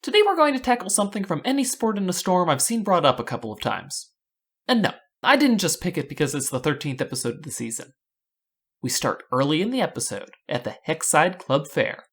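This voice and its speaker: Woman, low pitch, animated intonation, scripted narration, wry video host. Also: a little fast, slightly rough. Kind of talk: scripted fan analysis narration.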